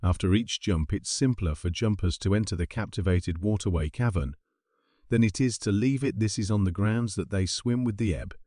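A man reading a scripted documentary narration in a steady, even voice-over. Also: fairly low pitch, moderate pace, no room sound, clean studio sound. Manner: steady, even reading of garbled paraphrased text.

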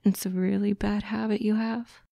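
A woman voicing a doting, teasing fox-girl girlfriend in a soft, breathy, coaxing ASMR roleplay, close to the microphone. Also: mid-range voice, little pitch movement, no echo, natural voice. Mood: tender, concerned. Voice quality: soft, breathy, often whispering. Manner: slow, coaxing, cooing. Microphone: close, dry microphone.

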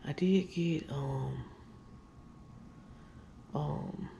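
Tired woman vlogger, gravelly low fry, casual y'all chat, faint room noise. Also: very slow, mellow, highs cut off. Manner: chatty, tired, addresses viewers as y'all. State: weary. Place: living room, on couch, indoors. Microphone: handheld camera at close range.

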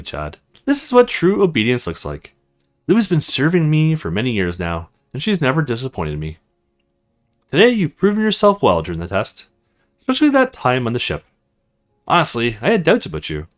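Man reading as imperious, self-satisfied mistress. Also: medium pitch.

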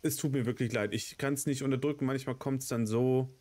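A man's voice: medium pitch, fairly even intonation, a little fast, darkish tone. A man in his thirties with a cold, giving casual, sweary gameplay commentary as a let's play host. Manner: sweary, muttering reactions to the game. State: has a cold, coughing.

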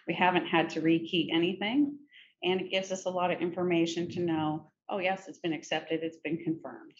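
A middle-aged woman presenting a software demo in a conversational, informal step-by-step tutorial style. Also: fairly low pitch, fairly even intonation, moderate pace. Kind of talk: conversational screen-share tutorial. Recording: muffled, noisy screen-recording audio.

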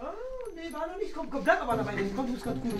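High-voiced young woman, distant under loud music. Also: animated, fast. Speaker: challenge participant. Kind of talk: casual excited group game chat. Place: bright indoor studio room.

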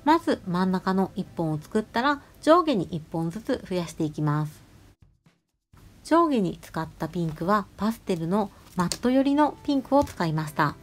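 A woman nail artist narrating a tutorial voice-over, explaining each step politely and giving gentle advice. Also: mid-range voice, very animated, moderate pace. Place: voice-over over close-up salon footage. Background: music.

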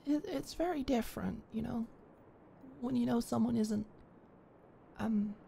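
A young woman in an ASMR roleplay, talking shyly and stammering as a flustered neighbor, sometimes dropping to a soft whisper. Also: on the high side, measured pace, gravelly, a little hiss or hum. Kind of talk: ASMR roleplay, one-sided dialogue.

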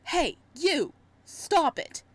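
A woman plays a young student from a visual novel who has had enough. The voice is high and forceful. The delivery is indignant and scolding. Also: sing-song, drawn-out words, exaggerated voice.

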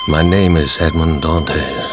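An actor playing a cold, grave, betrayed man bent on revenge, speaking with measured deliberation in a radio drama. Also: a bass voice, fairly even intonation, gravelly, heavily acted. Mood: cold, accusing. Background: dramatic music.

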